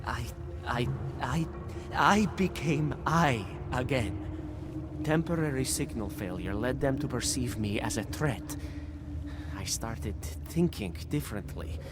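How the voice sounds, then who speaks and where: An actor playing a confused, broken male outcast in a video game, halting and stammering over repeated words. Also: medium pitch, lightly acted. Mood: agitated, confused. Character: broken, confused outcast man escaping a cult. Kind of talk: video game dialogue acting. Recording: game music behind the voice.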